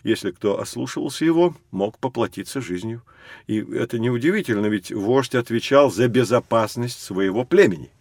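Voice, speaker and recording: Actor as a learned, very expressive male teacher. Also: medium pitch, lightly acted. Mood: explanatory. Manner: measured, explanatory, storytelling. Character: learned male teacher guiding children through history. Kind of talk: children's educational audio drama.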